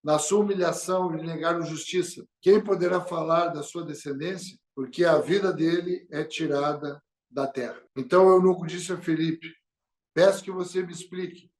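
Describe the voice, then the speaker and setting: A man's voice: on the high side, fairly even intonation, normal speed. A man preaching a sermon, full of rhetorical questions and calls of amen. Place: church hall. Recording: echoey church hall.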